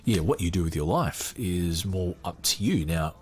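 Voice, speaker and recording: A man hosting a podcast, giving casual off-the-cuff commentary in a halting way, often muttering asides to himself. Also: low-pitched, lively intonation, darkish tone, breathy edge, faint music behind. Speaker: podcast host.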